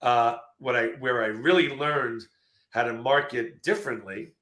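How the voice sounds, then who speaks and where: A man's voice: mid-range voice, moderate pace, reverberant. A man in his late fifties, a marketing speaker losing his voice, telling stories energetically in a casual interview. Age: 50s. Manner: energetic storytelling with fillers. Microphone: video call.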